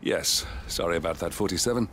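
Low-voiced composed male mission handler actor. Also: noisy background, close to an everyday voice. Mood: calm, guiding. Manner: measured, composed, politely instructive. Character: calm male handler guiding an assassin remotely. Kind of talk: video game mission guidance dialogue.

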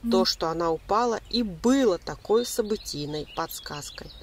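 A woman reading a scripted spiritual self-help voice-over in a measured, explanatory manner with deliberate pauses. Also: medium pitch, animated, a little hiss or hum. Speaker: narrator giving spiritual self-help advice.

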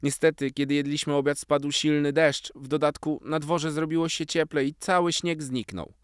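A man voicing a kind, caring mother talking to her young son in a children's story, gentle and encouraging. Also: a little high, little pitch movement, normal speed, clear, resonant tone, no echo, clean studio sound, pitched above the performer's own voice, close to an everyday voice. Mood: gentle, regretful.

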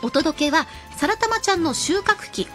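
Woman, announcer, high pitch, animated range, scripted sales pitch. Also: moderate pace, faint music behind. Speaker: announcer presenting radio shopping segment.

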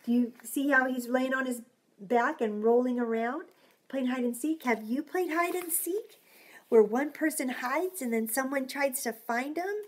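Middle-aged female storytime reader, high voice, unhurried, encouraging and chatty with little listeners. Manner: encouraging, asking the listener simple questions. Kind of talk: read-aloud storytime with chatty asides to young children. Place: small home room, indoors.

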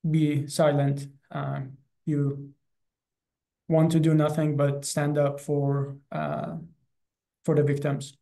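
Higher-pitched Iranian-accented man, even and mellow. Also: creaky. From Iran. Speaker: animal rights activist and guest. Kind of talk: personal account in an online interview. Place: small classroom in front of a chalkboard. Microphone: video call, close to computer.

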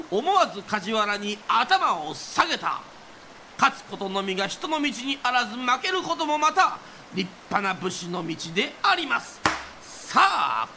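A man's voice: extremely high pitch, pushed and bright, slight background noise. A man narrating a radio drama as a traditional Japanese kodan storyteller, declamatory, theatrical and rhythmic. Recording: music under the voice.

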